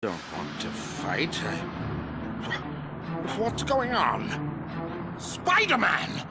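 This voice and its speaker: Wildly animated, pompous villain scientist. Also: male voice, on the high side, extremely slow, over loud music, exaggerated voice. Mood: confused, indignant. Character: bewildered, pompous male scientist supervillain. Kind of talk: video game voice acting.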